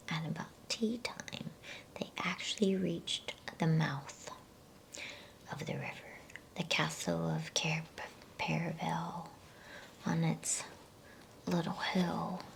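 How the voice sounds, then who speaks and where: Woman whispering a slow bedtime story. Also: low voice. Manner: hushed, unhurried, breathy whisper. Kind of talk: whispered ASMR storybook reading.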